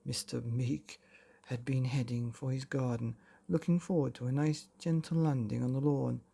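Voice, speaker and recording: A man hosting and narrating a children's bedtime story in a dramatic storyteller's delivery with long pauses. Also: medium pitch, fairly even intonation, vocal fry, dark tone, husky. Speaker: bedtime story podcast host and reader.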